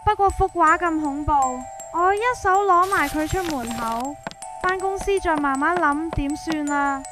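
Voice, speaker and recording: A woman with a Hong Kong Cantonese accent telling a scripted first-person story in a colloquial, expressive way, acting out the dialogue. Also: sits high, normal speed, over music. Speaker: narrator and main character of a horror story.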